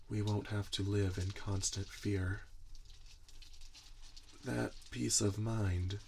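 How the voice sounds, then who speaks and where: Gentle monster inventor, played by a man, low pitch, very little inflection, soft-spoken, deliberate, reassuring, ASMR roleplay. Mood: earnest, hopeful. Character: gentle, earnest male monster inventor tending his robot creation. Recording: audible background noise, typing and clicks. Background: computer keyboard typing and clicks.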